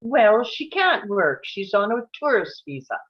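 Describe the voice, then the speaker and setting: Woman acting a lively, smug comedy roommate. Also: medium pitch, a little slow, slightly rough, highs cut off. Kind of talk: screenplay table read acting.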